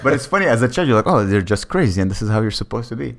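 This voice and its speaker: A man from Lebanon, a comedian guest, telling stories in casual, animated, informal chat. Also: mid-range voice, racing.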